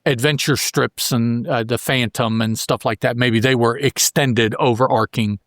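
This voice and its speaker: Male co-host, medium pitch, conversational, stumbles and rephrases, close dry recording. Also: steady tone, fairly bright tone, dry recording. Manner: halting, stammering, rephrasing as he goes. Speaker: podcast co-host. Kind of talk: casual chat.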